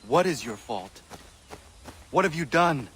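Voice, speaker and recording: Earnest, formal young warrior. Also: male voice, a little high, animated, measured pace, a bit bright, slight background noise, poor audio. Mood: confused. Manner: formal, careful, avoids contractions. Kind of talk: dramatic video game acting.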